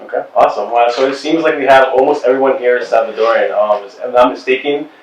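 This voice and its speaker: A man's voice: medium pitch, quick pace, lo-fi sound. Male podcast host, easygoing joker, recorded roomy and off-mic. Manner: relaxed, joking questioner. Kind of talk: casual interview. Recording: roomy room, mic at a distance.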